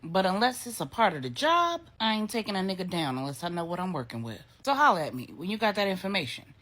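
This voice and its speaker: A woman's voice: lowish voice, sing-song, fast talker, fairly bright tone. A woman storyteller voicing a blunt, bold, street-smart woman with brash, slangy, no-nonsense talk. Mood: blunt, flirty.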